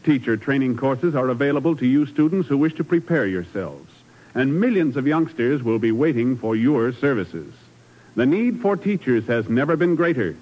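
A man's voice: medium pitch, expressive, moderate pace. Formal male radio announcer, dull vintage sound. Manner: formal, earnest. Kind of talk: 1950s scripted radio announcing and narration.

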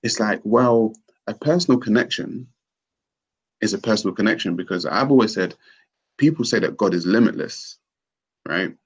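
A man's voice: lowish voice, moderate pace, pauses often, slightly rough. A man hosting a casual conversation, skeptical and questioning, arguing with rhetorical questions.

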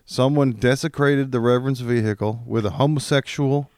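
A man, a guest on a casual podcast, telling stories in an animated way and laughing as he talks. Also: medium pitch, moderate pace, clean tone, no room sound, clean studio sound. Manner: storytelling, animated, laughing while talking. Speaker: guest comedian.

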